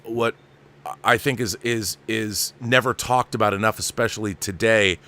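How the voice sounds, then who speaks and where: Bright, forceful middle-aged male interviewer. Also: on the low side, steady tone, moderate pace. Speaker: talk show host, interviewer. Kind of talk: casual enthusiastic interview chat.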